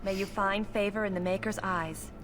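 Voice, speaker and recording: A woman's voice: medium pitch, steady tone, normal speed, lightly acted. A woman voicing a devout priestess in a video game, blessing soldiers in a solemn, intoning way.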